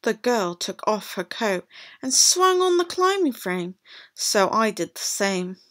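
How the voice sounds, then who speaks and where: A woman reading a picture book aloud to children as a teacher, in an animated, deliberate way. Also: mid-range voice. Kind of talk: expressive storybook read-aloud for children.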